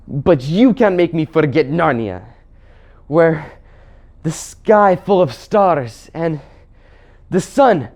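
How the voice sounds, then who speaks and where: A man voicing a stubborn, earnest, plain-spoken marsh creature with a halting, dogged delivery. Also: a little high, lively intonation, a little mellow, pitched above the performer's own voice. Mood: labored, defiant.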